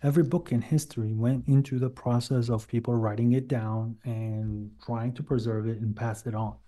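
Dark, mellow-voiced man debating religion. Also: mid-range voice, fairly even intonation, moderate pace. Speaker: debate guest defending Islam. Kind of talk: informal religious debate argument.

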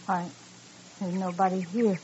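A woman's voice: fairly low pitch, little pitch movement, unhurried, a little mellow, close to an everyday voice. An actress playing a crazy, spurned frontier woman in an old radio western, brooding and defiant, with rustic, repetitive speech. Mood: sly, unbothered. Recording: dull, noisy old radio recording.

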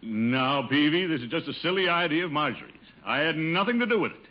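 An actor playing a pompous, easily flustered middle-aged uncle in a 1950s radio sitcom, blustering and stammering, on an old broadcast recording. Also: male voice, fairly high pitch, lively intonation, fairly quick, lo-fi sound. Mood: hurried. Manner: blustery, hesitant stammering, chuckling.

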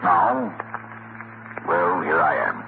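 A man's voice: deep voice, takes it slowly. A man acting a genial, easygoing gray-haired world traveler in a 1940s radio crime drama. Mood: reminiscing. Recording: muffled, noisy 1940s radio recording.

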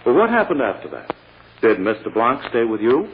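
A forceful courtroom prosecutor in old-time radio style. His delivery swings widely in pitch and is insistent and theatrical. The sound is muffled and band-limited, with a long hall reverb. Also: sing-song, a little hiss or hum. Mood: pressing, skeptical. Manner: oratorical, insistent, dramatic pauses. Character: forceful, theatrical male prosecutor pressing witness and jury. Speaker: actor in a radio courtroom drama.

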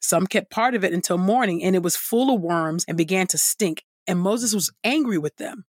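A woman reads scripture as a podcast host, her delivery measured and deliberate. The recording is close and dry, with no room sound, and each phrase is clearly enunciated. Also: lowish voice, a little mellow, no echo. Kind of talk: measured scripted Bible reading.

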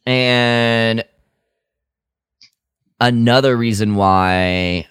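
A man's voice: medium pitch, drawn-out words, fairly bright tone, clean tone, no room sound, clean studio sound. A man co-hosting a podcast in casual review chat, exasperated and critical, slipping into mock quotes as he goes. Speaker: podcast co-host.

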